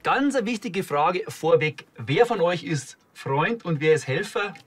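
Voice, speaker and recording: An actor playing a chirpy small-town radio DJ with hammy upbeat announcer patter. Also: male voice, high voice, expressive, a little slow, breathy edge, exaggerated voice.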